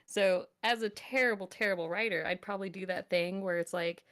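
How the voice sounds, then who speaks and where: A woman with a medium-pitched voice talks informally as a guest. She comes across as cheerful and pokes fun at herself as she explains things. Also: fairly even intonation, fairly quick, a bit bright. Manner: cheerful, self-deprecating. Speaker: voice actor, guest. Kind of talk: casual chat.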